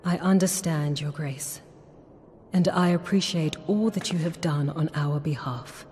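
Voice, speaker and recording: An actress playing a composed refugee leader who speaks calmly and respectfully. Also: female voice, deep voice, measured pace, in a large hall, slight background noise. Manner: calm, respectful. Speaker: game character.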